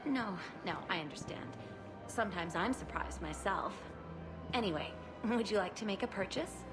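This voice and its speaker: Actress as female gift shop clerk, medium pitch, polite, composed, game dialogue, loud music behind. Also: animated, moderate pace, natural voice.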